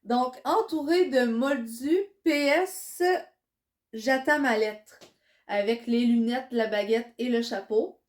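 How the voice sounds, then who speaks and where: A young woman with a Quebec French accent, chatting excitedly in a casual vlog while congested from a cold. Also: fairly high pitch, slow. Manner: chatty and excitable, many fillers. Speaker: vlogger.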